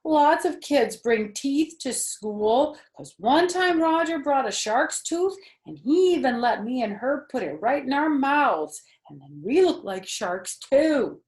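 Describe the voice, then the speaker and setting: A woman voicing a brash, excitable little girl who talks loud, drawn-out and boastful. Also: mid-range voice, echoey room, pitched above the performer's own voice, poor audio. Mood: whiny.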